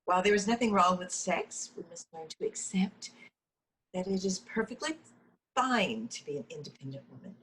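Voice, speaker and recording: A woman's voice: fairly low pitch, lively intonation, moderate pace, fairly bright tone, low-quality recording. A woman acting an earnest, easily exasperated leader of a women's goddess meeting in a comedy script read over a video call. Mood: earnest, preachy. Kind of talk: live comedy screenplay table read. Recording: online call with faint noise.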